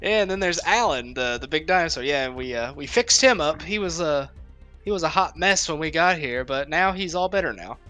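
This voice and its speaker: A man's voice: on the high side, animated, brisk, sharp tone, soft background music. A man playing a breezy, nonchalant pirate captain with an offhand, rambling manner in a tabletop game. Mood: matter-of-fact, casual. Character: breezy, casual pirate captain who shrugs off danger.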